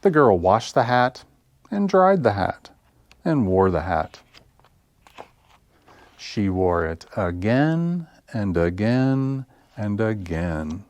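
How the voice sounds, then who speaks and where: Low-voiced man hosting children's story time. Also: very animated, slow, pauses often, darkish tone, no room sound. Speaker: library story time host. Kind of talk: children's read-aloud and sing-along story time.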